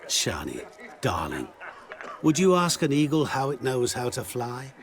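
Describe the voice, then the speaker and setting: A man's voice: medium pitch, wildly swooping pitch, a little slow. A man playing a sly, eloquent, worldly schemer in a video game, theatrical and smug. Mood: smug, amused. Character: smooth, sly male enchanter giving worldly romantic advice. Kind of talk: video game dramatic acting.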